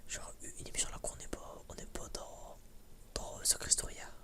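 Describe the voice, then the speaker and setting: A woman chatting casually as an ASMR creator, in a rambling, halting way that often repeats words and phrases. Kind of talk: whispered ASMR casual chat.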